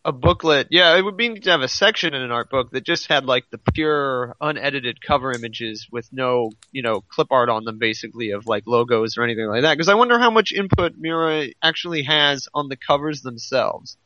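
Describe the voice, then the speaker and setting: Male co-host, bright and sharp, musing casually, close dry but lo-fi sound. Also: mid-range voice, fairly quick, hardly any pauses, no echo. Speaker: podcast co-host. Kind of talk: casual chat.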